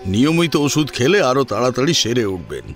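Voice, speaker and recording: Man acting hearty, reassuring doctor, swooping pitch. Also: big pitch swings, fairly quick, lightly acted. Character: genial, reassuring male family doctor, amused and brisk. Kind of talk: Bengali audio drama acting. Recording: music under the voice.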